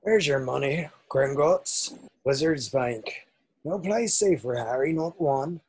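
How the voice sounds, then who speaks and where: A man playing a gruff, kindly giant with folksy rustic phrasing in a screenplay table read. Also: a little high, animated, slow pace, a little mellow, a little hiss or hum, low-quality recording. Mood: warm, knowing.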